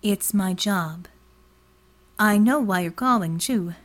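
A woman voicing a cryptic, demanding informant in an audiobook, delicate and breathy, speaking in a carefully neutral, measured way. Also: mid-range voice. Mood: coolly neutral. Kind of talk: audiobook character dialogue.

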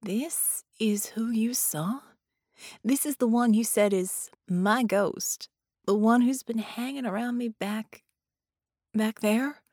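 A woman's voice: fairly high pitch, takes it slowly, a little mellow, no room sound. A woman voicing a weary, sardonic woman with frayed patience in an audiobook, blunt and dry in manner. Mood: shaken.

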